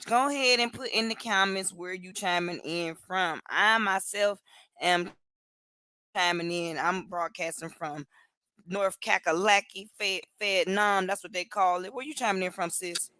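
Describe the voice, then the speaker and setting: A chatty, animated woman co-hosts a casual livestream from home, speaking over a video call. Her voice is bright and forward, and the sound is dry and close. She laughs easily and keeps the talk loose. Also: lowish voice, a little slow, smooth, no echo. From Cleveland, Ohio. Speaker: co-host.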